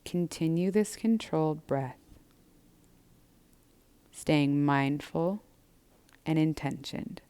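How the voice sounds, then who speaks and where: Low-voiced woman guiding gentle meditation. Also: clear, resonant tone. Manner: gentle, measured, soothing guidance. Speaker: yoga and meditation instructor. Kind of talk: guided breathwork and body scan instruction.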